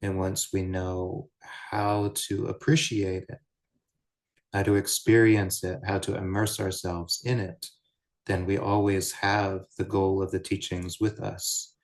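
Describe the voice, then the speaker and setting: A man guiding a meditation as a teacher, speaking in measured, deliberate short phrases with pauses between them. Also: low-pitched, flat delivery. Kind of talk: guided meditation, unscripted Buddhist teaching.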